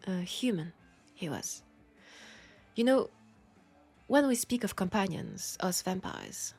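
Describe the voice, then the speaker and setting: A woman playing a lonely, centuries-old female vampire in an intimate audio drama, with a French accent and a soft, confiding, unhurried delivery. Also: lowish voice, exaggerated voice. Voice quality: soft, breathy, often dropping to a whisper. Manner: unhurried, confiding, pausing between phrases.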